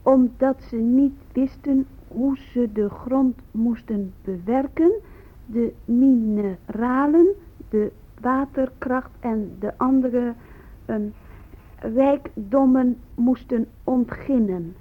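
Slow, high-voiced pupil reciting. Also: female voice. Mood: naive, halting. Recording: muffled, narrow radio play recording.

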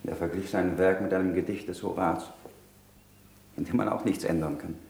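An actor playing an anxious, loyal disciple of a condemned scientist in a German radio play, speaking gravely and with measure. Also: male voice, low-pitched, fairly even intonation, brisk, a little mellow. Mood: grave, reflective.

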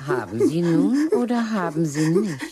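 An actress playing a theatrical, courteous woman in a satirical radio play, measured and polite with sudden exclamations. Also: on the low side, big pitch swings, crowd noise. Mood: indignant. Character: theatrical, courteous hostess pleading for her stolen darling.

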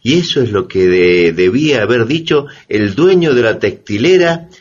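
An actor playing a wry, didactic explainer with an ironic, emphatic manner in a satirical educational radio drama. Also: male voice, mid-range voice, lively intonation, normal speed, muffled recording, a little hiss or hum, lightly acted. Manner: ironic, emphatic, rhetorical questions. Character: wry, didactic male explainer debunking foreign patents.

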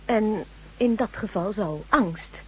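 A composed woman neurologist in an old radio play. She speaks formally and precisely, with expressive rises and falls and a sceptical edge. The sound is muffled, with a faint hum. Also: mid-range voice. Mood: explaining. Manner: formal, measured, explanatory. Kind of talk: Dutch radio drama acting.

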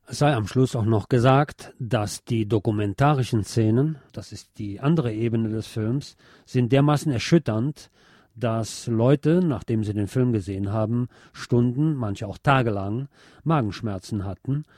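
Mellow male radio host, dry studio sound. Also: mid-range voice, measured pace, dry recording. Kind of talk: film review monologue on radio.

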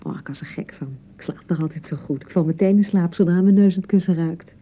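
An actress with a Dutch accent playing a young, anxious newlywed bride in a radio drama, touchy and fretful, chattering and repeating herself. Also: female voice, on the low side, fairly quick, muffled recording, natural voice. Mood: eager, chatty. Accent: Dutch (Netherlands). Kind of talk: naturalistic radio drama acting.

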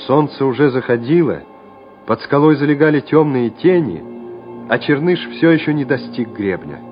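A man narrating a wartime radio drama in a measured, solemn, scripted way. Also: medium pitch, expressive, close to an everyday voice. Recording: dull old radio recording with music.

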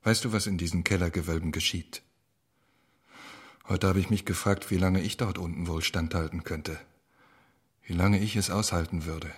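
An actor playing a stern, guarded professor and father in a radio drama, speaking with deliberate authority. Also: low voice, steady tone, husky. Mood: quiet, troubled.

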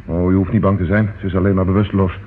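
An actor playing an earnest, insistent police detective in a radio play. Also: male voice, low-pitched, little pitch movement, very fast, narrow, tinny audio, natural voice. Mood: brisk. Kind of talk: old radio play acting. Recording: thin, noisy old radio recording.

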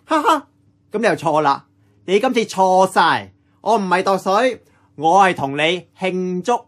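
A man playing an eager, impatient young nephew in a radio drama, animated and colloquial, with a Hong Kong accent. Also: high voice, a little slow. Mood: excited. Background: plucked guitar music.

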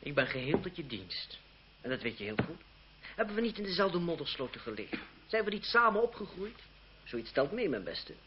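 An actor playing a cynical, witty young French lawyer in a radio drama, with an ironic, condescending, urbane manner. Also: male voice, fairly high pitch, big pitch swings, fairly quick. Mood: dry irony. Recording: muffled, hissy old radio drama recording.

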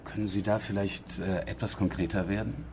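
An actor playing a dry, persistent police detective in a German radio crime drama, questioning in a wry, probing way. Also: male voice, fairly low pitch, fairly even intonation, fairly quick, slight background noise, lightly acted.

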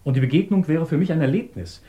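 A man's voice: on the high side, fast, dull audio, natural voice. A man acting a deferential, eager assistant, polite and formal, in a 1950s German radio drama. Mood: pleading, deferential.

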